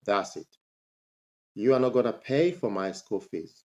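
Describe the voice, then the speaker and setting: Slow, deliberate man. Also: animated. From Zambia. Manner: emphatic, pitch jumps up on rhetorical questions. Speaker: motivational speaker. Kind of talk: personal storytelling to camera.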